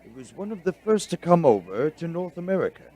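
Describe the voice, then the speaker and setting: A man playing a bookish, excitable doctor in a radio drama, halting and stammering as he explains things. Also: a little high. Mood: excited, amazed. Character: bookish, excitable male doctor friend, an eager researcher. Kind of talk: audio drama dialogue.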